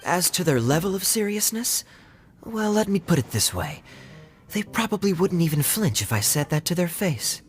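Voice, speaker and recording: An actor playing a sly, teasing young knight in a video game dub, smooth and needling. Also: male voice, high voice, big pitch swings, normal speed. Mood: playful. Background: background game music.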